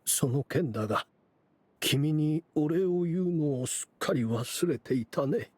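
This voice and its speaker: A man playing a dignified, resigned older male teacher, calm and reflective, in anime game acting. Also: a little high, unhurried, dry recording. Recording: background music under the voice.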